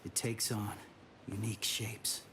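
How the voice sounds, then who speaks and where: A man's voice: lowish voice, flat delivery, unhurried, noisy background, natural voice. An actor playing an earnest, matter-of-fact young man in an English-dubbed anime game. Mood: earnest, brooding.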